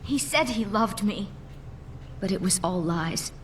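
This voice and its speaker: An actress playing a bitter, jilted alchemist in a video game, with a clipped, haughty, wounded manner. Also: female voice, medium pitch, expressive, unhurried, a little hiss or hum, close to an everyday voice. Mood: hurt, scornful. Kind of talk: scripted game dialogue.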